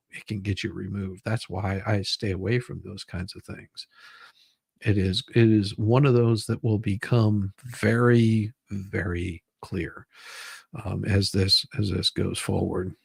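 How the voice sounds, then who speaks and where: Gravelly man chatting on a livestream. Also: lowish voice, fairly even intonation, darkish tone, dry recording. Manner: repeats phrases, halting. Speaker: livestream host. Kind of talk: casual live commentary.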